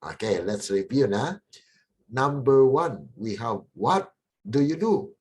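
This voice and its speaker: A man teaching English to young children, speaking slowly and deliberately and saying each phrase twice for them to repeat. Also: medium pitch, lively intonation, darkish tone. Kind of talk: slow repeat-after-me classroom drill.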